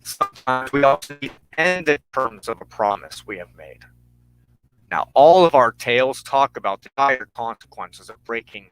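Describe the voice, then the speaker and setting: Man, mid-pitched, bright forceful tone, solemn religious teaching, measured and emphatic, deliberate pauses. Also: lively intonation, clean tone, no room sound, poor audio. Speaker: host of a religious broadcast. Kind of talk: spoken sermon, personal testimony and teaching.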